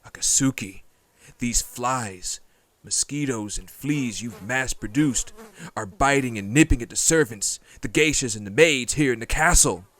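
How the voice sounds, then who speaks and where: A man's voice: medium pitch, unhurried, a bit bright, voice pushed up, close to an everyday voice. A man playing a stern but compassionate lord and grieving head of his house in a dramatic audio roleplay, speaking formally and emphatically. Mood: exasperated. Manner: earnest, formal, emphatic, indignant.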